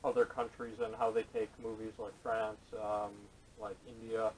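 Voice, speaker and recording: A man's voice: on the low side, flat delivery, moderate pace, a little mellow, far from the mic, a little hiss or hum. A man co-hosting a casual podcast, giving his opinions on films, picked up on poor camera audio. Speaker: podcast co-host. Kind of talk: casual opinionated film chat. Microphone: camera microphone at a distance.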